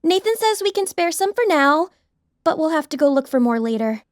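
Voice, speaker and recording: An eager young college woman, in an audiobook drama. Her voice sits high, with a bubbly, chatty, earnest manner and a close, dry sound. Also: big pitch swings, fairly quick, no echo. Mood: friendly, eager. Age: young adult.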